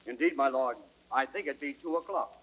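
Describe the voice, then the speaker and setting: An actor playing a formal, deferential sheriff in old radio Shakespeare style. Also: male voice, medium pitch, a little fast, a bit bright, a little hiss or hum, close to an everyday voice, rough recording. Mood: respectful, firm. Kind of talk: 1930s radio Shakespeare acting.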